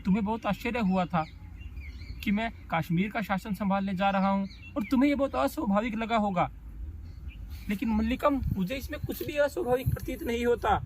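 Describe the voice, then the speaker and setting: Male actor as tormented, self-doubting poet in a stage drama; high voice, solemn and emotional, pausing heavily; light birdsong noise. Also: lightly acted. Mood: pained confession. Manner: solemn, halting, emotional delivery with dramatic pauses. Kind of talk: theatrical stage monologue in formal literary Hindi.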